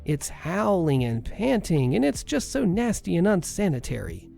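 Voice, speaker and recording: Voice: big pitch swings, moderate pace, vocal fry, mellow, soft background music. A storyteller voicing a rude, entitled middle-aged woman patient who screeches and sneers. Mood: indignant. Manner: shrill, screeching and scornful.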